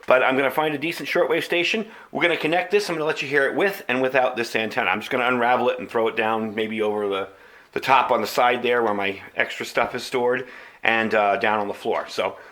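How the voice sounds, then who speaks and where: A man's voice: medium pitch, quick pace, a bit bright. A middle-aged man with an American accent giving a casual, conversational product review, explaining things as he demonstrates them.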